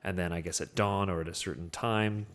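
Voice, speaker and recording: Male, fairly low voice. Podcast panelist, conversational and discursive, working thoughts out as he speaks. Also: steady tone, a little fast, a crowd in the background. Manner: rambling, thinking aloud. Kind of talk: casual film discussion.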